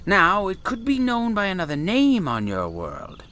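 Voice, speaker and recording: Male actor as eccentric space professor, insistent and grandiose, very lively intonation, forceful bright voice, sci-fi audio drama. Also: high-pitched, unhurried, clean tone, exaggerated voice. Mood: urgent, insistent. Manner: theatrical, exclamatory, wordy and formal.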